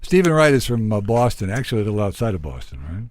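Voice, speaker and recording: A man's voice: lowish voice, very animated, fast talker, no echo. A middle-aged man hosting a casual interview, chatting as a radio host.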